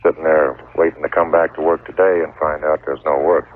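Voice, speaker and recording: A middle-aged man calling a radio show, explaining his situation in a measured, deliberate, halting way. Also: extremely low pitch, level tone, slight background noise. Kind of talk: explaining a problem on a phone-in. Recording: tinny phone line on taped radio.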